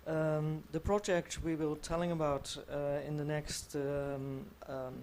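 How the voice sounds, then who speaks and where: A woman's voice: deep voice, flat delivery, slow, darkish tone, slight background noise. A woman with a Dutch accent introducing herself as an interview guest, hesitant and searching for words. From Rotterdam, Netherlands. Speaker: guest, education project manager.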